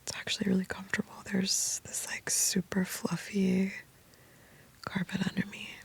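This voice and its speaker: Woman, whispering, soft rambling, flat even tone, small sound booth. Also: mid-range voice, moderate pace, vocal fry, slightly rough. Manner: soft whispering, meandering and intimate. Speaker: host. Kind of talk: whispered late-night rambling.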